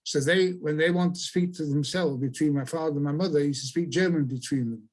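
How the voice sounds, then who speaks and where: Older man, halting storyteller. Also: fairly high pitch. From Chile. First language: Spanish. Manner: halting, restarts phrases often. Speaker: author and guest. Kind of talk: conversational interview answers.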